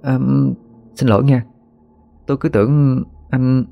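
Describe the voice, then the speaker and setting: A man voicing a calm young woman healer who speaks softly and steadily. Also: medium pitch, unhurried, muffled recording, pitched above the performer's own voice, natural voice. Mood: earnest.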